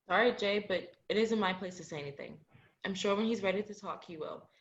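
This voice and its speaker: A young woman acting in a student play as a caring, supportive best friend, gentle in manner. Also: medium pitch, fairly quick, close to an everyday voice. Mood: calm, protective. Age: young adult. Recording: dull lo-fi audio.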